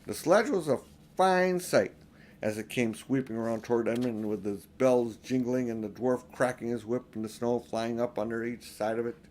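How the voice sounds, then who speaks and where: An older man reads a children's storybook aloud. He has a mid-pitched voice and narrates as an audiobook reader would. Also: moderate pace, darkish tone, a little hiss or hum.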